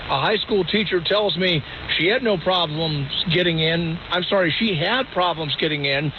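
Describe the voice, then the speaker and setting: A high-voiced man reports live news for radio. The signal is dull and band-limited, with obvious hiss. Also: normal speed. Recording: hissy, muffled live radio relay.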